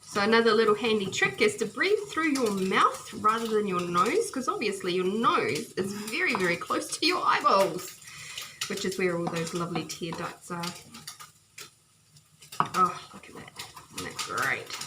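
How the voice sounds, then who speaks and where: A chatty woman talks through her cooking in a home kitchen, recorded by a camera above the counter. Her voice sits in the middle of the usual range, and the sizzle of frying food and the clatter of the kitchen come through clearly behind her. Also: medium pitch, big pitch swings, normal speed, background noise. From New Zealand. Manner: cheerful and chatty, often laughing, talks while chopping and stirring. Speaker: home cooking video host. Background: food sizzling and kitchen clicks.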